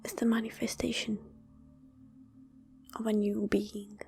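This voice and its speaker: Very slow whispering woman, ASMR meditation. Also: medium pitch, flat delivery, soft background music. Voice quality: breathy whisper. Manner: hushed, soothing, drawn-out phrases with long pauses. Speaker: ASMR channel host and meditation guide.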